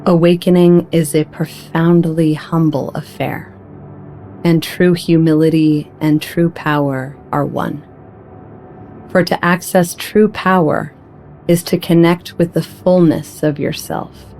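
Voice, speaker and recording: Low, slow-paced woman reading spiritual poetry. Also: fairly even intonation, dark tone, slight background noise. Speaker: audiobook narrator.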